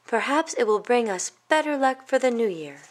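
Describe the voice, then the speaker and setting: A woman voicing a caring, practical village mother in a storybook, gentle in manner. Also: fairly high pitch, sing-song, moderate pace, slight background noise, pitched above the performer's own voice. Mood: hopeful.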